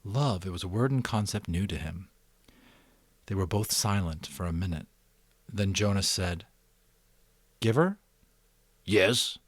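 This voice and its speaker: Male audiobook reader, slightly low voice, dry intimate sound, expressive dramatic delivery with informal commentary. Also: normal speed, pauses often, dry recording. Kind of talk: dramatic storybook narration with casual asides.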